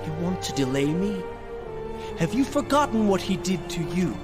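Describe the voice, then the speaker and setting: Impassioned young warrior prince, high and swooping. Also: male voice, big pitch swings, normal speed, over loud music. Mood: urging, reproachful. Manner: intense, earnest, argumentative. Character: young warrior prince, proud, conflicted, guilt-ridden and impulsive. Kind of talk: dramatic video game cutscene acting. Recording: loud background score.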